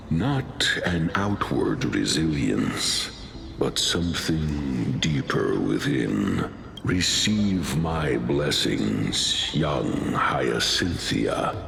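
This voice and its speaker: Old ancestor spirit in a game, super deep gravelly voice, grave n formal, swelling up and down, music behind. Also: male voice, fairly bright tone, slight background noise, exaggerated voice. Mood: solemn blessing. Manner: slow, weighty, formal. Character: grave, ancient male ancestral spirit, righteous judge. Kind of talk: scripted video game voice acting. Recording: game audio with background music.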